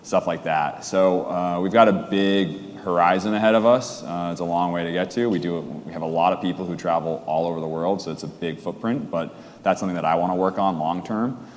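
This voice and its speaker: Deep-voiced man on a reverberant stage. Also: a little fast. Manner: casual, fillers and restarts. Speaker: panelist. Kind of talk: panel discussion. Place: stage at a conference hall. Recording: reverberant hall, faint ambience.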